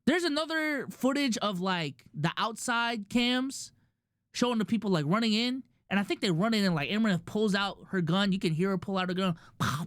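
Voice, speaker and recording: A man's voice: high-pitched, no echo. A male streamer with a Filipino accent, talking loosely to his chat with slang and lots of restarts, close to a dry mic. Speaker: streamer and podcast host. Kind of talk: casual commentary to a live chat.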